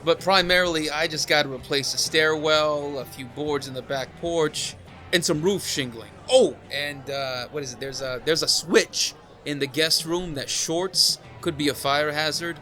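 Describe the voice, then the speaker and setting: An actor playing an easygoing, easily bewildered young father-to-be with halting delivery in an audio comedy sketch. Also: fairly high pitch, bright, forceful tone, faint music behind. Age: young adult.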